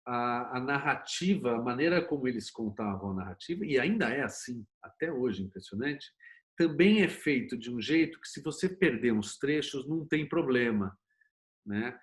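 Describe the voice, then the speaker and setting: A Brazilian man with a medium-pitched voice speaks as a guest in an interview. He explains conversationally, telling things like little stories. He often closes a thought with a short tag question. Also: lively intonation, normal speed. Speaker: interview guest, series producer and screenwriter.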